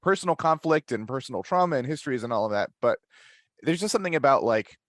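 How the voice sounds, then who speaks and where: A man talking casually as a podcast panelist, working through his thoughts aloud in a halting way. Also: medium pitch, lively intonation, a bit bright, no room sound, studio quality.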